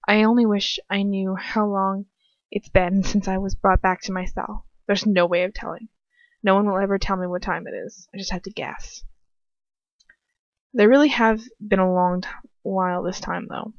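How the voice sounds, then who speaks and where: A woman's voice: medium pitch, dry recording. A woman reads a story aloud like an amateur at home. Her delivery is casual and stumbling, slipping into self-deprecating chat. The sound is dull and lo-fi, dry with little room around it. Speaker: amateur fan fiction reader. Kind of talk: casual read-aloud with chatty asides.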